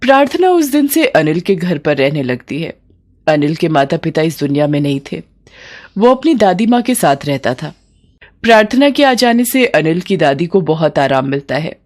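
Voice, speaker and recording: A woman narrator tells a scripted moral story for an animated tale. Her voice sits a little low for a woman, and she reads as a storyteller. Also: normal speed.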